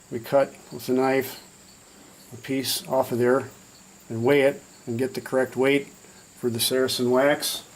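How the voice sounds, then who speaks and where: A man's voice: medium pitch, creaky. A man explaining a hands-on process step by step in an informal, matter-of-fact demonstration style. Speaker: hobbyist demonstrator.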